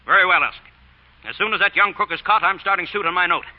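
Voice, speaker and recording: An American actor playing a sarcastic, scheming moneylender who blusters in old-time radio drama style. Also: male voice, high voice, fast, lightly acted. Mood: indignant. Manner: sharp, sarcastic, indignant or slick. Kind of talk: 1930s-40s radio drama acting. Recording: dull old radio recording.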